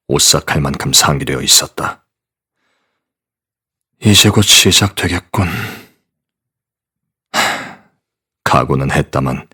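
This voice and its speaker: A stern male agent in an audio drama. His voice sits extremely low and he gives orders in short, clipped lines. He also narrates with dry asides. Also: pushed and bright, breathy edge, full character voice.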